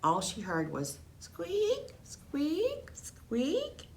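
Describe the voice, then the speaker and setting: Woman doing a high, squeaky little pet hamster. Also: huge pitch swings, extremely slow, darkish tone, slight background noise, exaggerated voice. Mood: squeaking. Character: small eager classroom pet hamster, squeaky, friendly.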